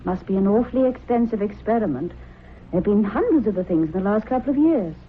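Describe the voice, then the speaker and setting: An actress playing a witty, wry reporter's wife in a vintage radio drama. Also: female voice, medium pitch, animated, quick pace, natural voice. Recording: noisy, narrow-band old radio recording.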